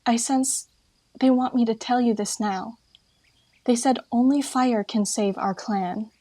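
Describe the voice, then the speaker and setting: A woman's voice: fairly high pitch, steady tone, a little slow, mellow. A woman playing an uneasy, caring female medicine cat with mystical leanings, speaking earnestly in an acted fan audiobook. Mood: urgent, prophetic.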